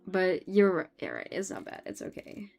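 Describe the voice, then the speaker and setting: A young Dutch woman chats with her live stream audience, her English colored by a Dutch accent. She talks loosely, giggling and trailing off mid-thought. Soft music plays faintly behind her. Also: fairly low pitch, wildly swooping pitch, normal speed, creaky. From Netherlands. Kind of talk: casual chat with chat while drawing.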